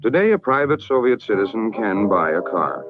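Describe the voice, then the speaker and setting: An American man narrating a scripted 1960s public information film in a formal, measured, emphatic manner. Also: fairly low pitch, big pitch swings. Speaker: documentary narrator. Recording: narrow-band vintage film soundtrack, music behind.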